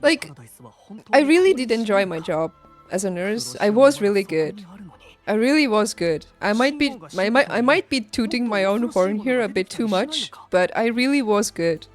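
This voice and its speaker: A young adult woman chatting casually on a livestream, telling personal stories in an emphatic, animated and informal way. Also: mid-range voice, moderate pace. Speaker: livestreamer, former nurse. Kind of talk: casual stream chat, personal storytelling. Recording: background music behind close dry voice. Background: music.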